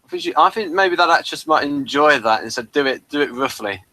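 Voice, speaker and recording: A man with a fairly high, bright voice hosts a casual review discussion. His intonation is very animated, and he talks in halting runs, often repeating a phrase. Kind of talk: casual chat, episode review.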